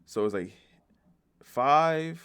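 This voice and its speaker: A man's voice: fairly high pitch, expressive, drawn-out words, fairly bright tone, smooth. A man giving casual, off-the-cuff reaction commentary as a video host, blunt and full of swearing and slang.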